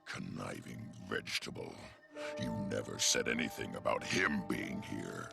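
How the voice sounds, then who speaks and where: A man playing a gruff, bitter beast warrior in a video game cutscene, growling with contempt and menace. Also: moderate pace, heavily acted. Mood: bitter, threatening. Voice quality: deep, gravelly growl. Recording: loud music behind.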